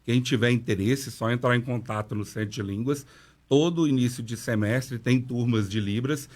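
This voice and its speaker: A man speaks in a radio studio, working steadily through his explanations with a mid-range voice. He often pauses on "né" as he builds his points, and sounds like a teacher laying things out for listeners. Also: medium pitch. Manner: measured explanatory delivery with frequent "né". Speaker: sign language professor and interpreter. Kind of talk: radio interview answers.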